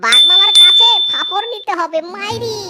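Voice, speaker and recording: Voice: very high-pitched, animated, normal speed. An actor voicing a sly, greedy, lazy male cartoon fox, with an exclamatory, cajoling manner. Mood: scheming. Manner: exclamatory, cajoling, catchphrase-laden. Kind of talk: exaggerated children's cartoon acting. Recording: music behind the voice.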